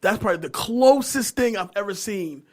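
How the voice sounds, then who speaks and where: American guy on a podcast, kinda high voice, slangy animated movie chat. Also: normal speed. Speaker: podcast panelist. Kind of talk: casual chat about movies.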